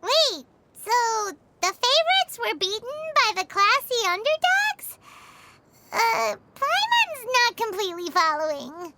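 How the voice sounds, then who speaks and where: A woman voicing a tiny, childlike fairy companion in an anime video game, excitable, nosy and exclamatory. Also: extremely high pitch, takes it slowly, very noisy, full character voice. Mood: confused. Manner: speaks of self in third person, exclamatory. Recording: music behind the voice. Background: game music.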